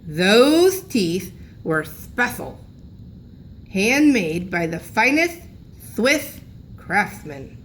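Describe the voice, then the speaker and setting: A woman voicing an aggrieved, agitated old grandfather with slow, toothless-sounding speech, reading a storybook. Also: mid-range voice, very animated. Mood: indignant. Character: agitated, aggrieved old grandfather who lost his false teeth. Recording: clearly noisy room recording.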